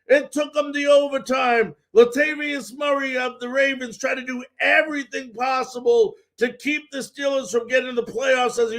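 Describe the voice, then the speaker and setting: A man's voice: extremely high pitch, fairly bright tone. A man hosting a live sports talk show, recapping games and addressing viewers in an emphatic, folksy way.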